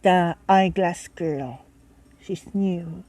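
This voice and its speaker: A woman reading a visual novel aloud with casual asides, halting and stumbling over words as she goes. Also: lowish voice, mellow, dry recording. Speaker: reader and commentator.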